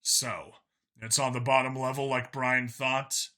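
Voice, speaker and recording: A principled commander of a police team, played by a male narrator, speaks with measured decisiveness. The voice is middling in pitch and very bright and forceful, in storybook dialogue. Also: level tone. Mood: pensive, probing. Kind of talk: audiobook character dialogue.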